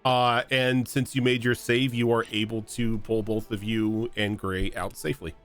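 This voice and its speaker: A man with a fairly low voice narrates as game master over an online call, pausing often to rephrase. Soft music plays behind him. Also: flat delivery. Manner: hesitant, full of fillers and restarts.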